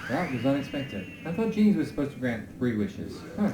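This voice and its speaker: A man's voice: mid-range voice, big pitch swings, fairly quick, background noise, lightly acted. A man voicing a laid-back ninja team leader in a cartoon parody, understated and casual. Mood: casual, unimpressed. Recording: echoey, music behind, quiet soundtrack.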